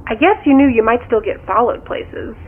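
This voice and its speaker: An inquisitive confidante on a tinny phone line. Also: female voice, on the high side, normal speed, a little hiss or hum. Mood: curious. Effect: phone call filter. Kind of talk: naturalistic audio drama dialogue.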